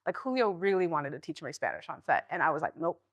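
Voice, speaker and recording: A woman in her thirties from the Southeast US, chatting in an interview and acting out quotes with big jumps in pitch. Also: lively intonation, fast, dry recording. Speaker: guest actor. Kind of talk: casual interview chat.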